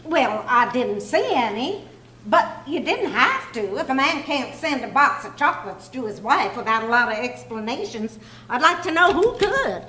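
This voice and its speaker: Woman acting fond teasing housewife, high voice, animated intonation, old radio comedy style, echoey live room. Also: normal speed, slight background noise, exaggerated voice. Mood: delighted, affectionate. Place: community clubhouse stage performance.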